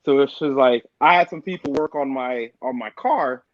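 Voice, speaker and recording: A middle-aged man from Jamaica, a guest on a casual podcast, telling stories in a relaxed way and often saying "man" and "like". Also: medium pitch, moderate pace, no room sound, lo-fi sound. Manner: relaxed storytelling with frequent "man" and "like".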